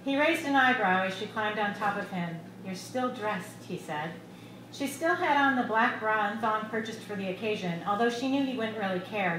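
Woman, middle-aged, medium pitch, live prose reading, roomy sound, noticeable background noise. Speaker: author reading her short story. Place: small bar room, live reading. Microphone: microphone on a stand, close. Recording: echoey room, clear background noise.

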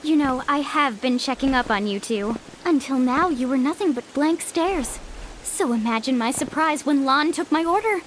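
A woman's voice: high-pitched, lively intonation, moderate pace, a little hiss or hum, low-quality recording. A woman playing a composed, knowing, mysterious young woman in a video game fandub, cryptic and assured.

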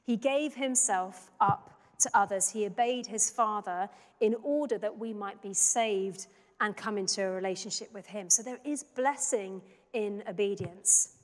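A woman in her thirties, a pastor, giving a sermon in a church in a measured, reflective, conversational way. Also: medium pitch. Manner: measured, reflective, conversational preaching with small restarts. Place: church sanctuary. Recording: long church reverb.

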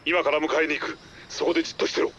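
An actor playing a terse, commanding military officer speaking by radio in a video game. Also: male voice, a little high, level tone, racing, a bit bright, dull audio, slight background noise, close to an everyday voice. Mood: businesslike. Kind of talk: game cutscene radio dialogue.

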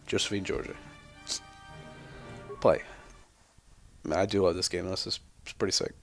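A man's voice: low-pitched, fairly even intonation, unhurried, creaky, faint music behind. A young man giving casual gameplay commentary in a sweary, irreverent, rambling manner. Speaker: gamer, let's player.

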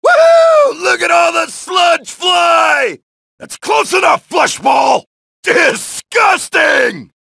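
An actor playing a brash, wisecracking male soldier who shouts taunts and one-liners while killing zombies in a video game, with a gravelly voice. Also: extremely high pitch, sing-song, slow, no room sound, a faint crowd, exaggerated voice. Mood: taunting. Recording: dry studio voice lines.

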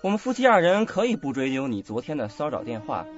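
An actor playing a cold, indignant young husband in a radio drama, stern, articulate and accusing. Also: male voice, medium pitch, sing-song, quick pace, natural voice. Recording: dull lo-fi audio, faint music.

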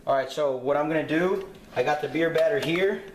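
A man's voice: fairly high pitch, a little fast, reverberant, a little hiss or hum. A young man filming himself cooking in a home kitchen, explaining casually and swearing with cocky confidence. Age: young adult. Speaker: home cook vlogger. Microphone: handheld camera nearby.

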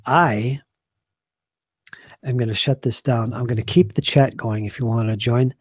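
A hoarse man in his forties, a game master losing his voice, chatting casually and earnestly on a live stream from a small home study into a desk microphone. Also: medium pitch, steady tone, a little slow, dry recording, clean studio sound. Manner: rambling, earnest, trailing off quietly. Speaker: game master and channel host.

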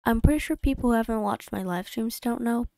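A woman's voice: a little high, dark tone, no room sound, clean studio sound. A woman narrating her game playthrough in a casual voice-over from a home desk mic, rambling and correcting herself as she goes. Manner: rambling, self-correcting, quick asides. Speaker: YouTube creator.

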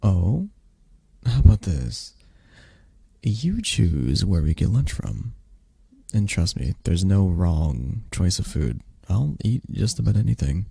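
A man voicing an affectionate, teasing young male dragon in an intimate ASMR roleplay, speaking in a soft, gentle, drowsy murmur. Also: low voice, gravelly, breathy edge, no echo, full character voice. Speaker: roleplay audio performer.

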